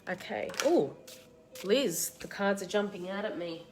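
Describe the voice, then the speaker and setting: A woman with a slight cold and a tickly throat, hosting a live card reading and giving soft, reassuring advice to viewers by name. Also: mid-range voice, animated, a little slow, a little hiss or hum. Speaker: card reader and meditation host. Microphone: live-stream setup.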